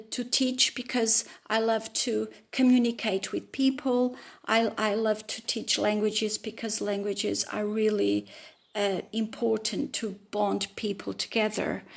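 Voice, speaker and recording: Portuguese-accented woman in her fifties, slow and gravelly. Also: mid-range voice. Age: 50s. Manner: earnest, hesitant with frequent fillers. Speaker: language teacher. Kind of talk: self-introduction video. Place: room with wooden ceiling, indoors.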